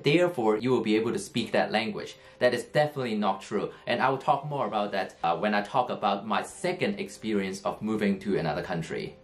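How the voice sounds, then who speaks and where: Man, low earnest voice. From Hong Kong. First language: Cantonese. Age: 30s. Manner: earnest, explanatory, with many fillers and restarts. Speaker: English teacher. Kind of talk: personal story talk to camera.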